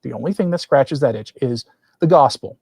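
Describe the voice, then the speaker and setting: A man's voice: medium pitch, lively intonation, brisk, creaky, darkish tone, slightly rough, no room sound. Man giving dry, close-miked opinion commentary. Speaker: livestream host and religious commentator. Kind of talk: opinionated commentary, partly reading quoted text aloud.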